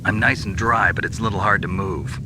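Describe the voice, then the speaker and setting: Guy acting as gruff army vet in a stealth game, real low voice, cool and terse, noisy backdrop. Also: brisk, natural voice. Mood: cool, wry. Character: gruff, terse veteran male soldier on a stealth mission. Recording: game audio with background noise, music.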